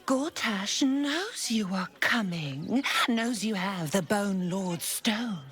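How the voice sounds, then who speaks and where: A woman's voice: mid-range voice, lively intonation, a bit bright, husky, exaggerated voice. A woman playing a sadistic, taunting female cultist villain in a video game, savoring her words with whispered edges. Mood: menacing taunting. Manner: menacing, slow, savoring delivery with whispered edges.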